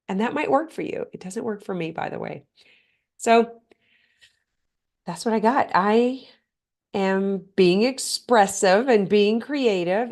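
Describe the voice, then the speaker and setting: A woman hosting a motivational podcast on her own, speaking earnestly and often putting questions straight to the listener, her voice a little hoarse and stuffed up. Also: medium pitch. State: slightly hoarse, congested. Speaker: podcast host. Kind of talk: motivational solo talk.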